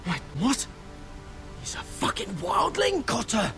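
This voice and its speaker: An excitable, suspicious young male companion from a fantasy game. His pitch is high and swings widely. Music sits behind the dramatic delivery. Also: slow, creaky, exaggerated voice. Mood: alarmed. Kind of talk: dramatic video game acting.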